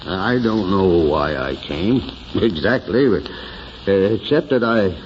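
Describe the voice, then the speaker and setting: A kindly small-town mayor, animated delivery. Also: male voice, sits low, measured pace, slight background noise. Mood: grave, subdued. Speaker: radio actor. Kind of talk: 1940s radio drama acting. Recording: muffled old radio recording with music.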